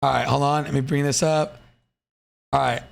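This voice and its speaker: A young man hosting a casual podcast, excitable and hyped, tripping over his words as he introduces guests. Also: medium pitch, moderate pace, vocal fry. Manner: excitable, hype introductions, frequent restarts. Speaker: podcast host.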